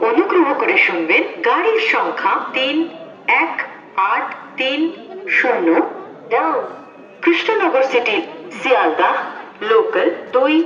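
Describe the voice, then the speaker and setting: A woman's voice: high-pitched, fairly bright tone, recorded from a distance. A woman railway station announcer making scripted public announcements in a formal, stitched phrase-by-phrase delivery over station speakers. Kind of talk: scripted public train announcement, repeated in three languages. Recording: echoey station PA from afar, muffled, crowd.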